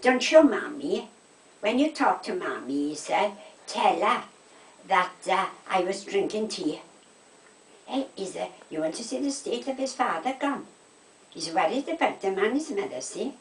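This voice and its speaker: A woman, getting on in years, chats in a South Wales Valleys accent. She tells gossipy anecdotes in an animated way, often acting out who said what. The room echoes and she sits some distance from the microphone. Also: mid-range voice, unhurried, a little hiss or hum. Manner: animated anecdotes, quoting conversations, checking with "right?". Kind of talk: casual chat, gossipy storytelling. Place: indoors at home.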